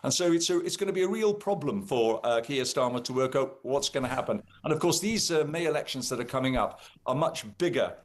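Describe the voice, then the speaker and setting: A man's voice: mid-range voice, lively intonation, a little fast, hardly any pauses. A man with a Welsh accent, a guest commentator on a live talk radio show, speaking emphatically with frequent fillers.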